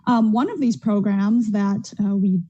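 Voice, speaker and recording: A woman who directs an arts center, giving prepared remarks on a video call in a steady, careful way with frequent "um" fillers. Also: mid-range voice, unhurried, dry recording. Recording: dull lo-fi dry sound.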